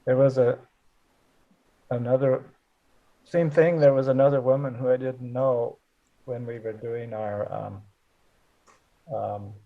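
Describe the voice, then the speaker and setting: An older man, a Zen teacher, leading a relaxed, halting group discussion over a video call. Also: medium pitch, gravelly. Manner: relaxed, halting, chuckles often. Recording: dull lo-fi call audio.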